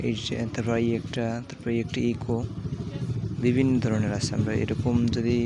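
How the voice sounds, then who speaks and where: A man hosting a casual app tutorial, explaining haltingly step by step. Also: mid-range voice, level tone, background noise. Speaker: tutorial host.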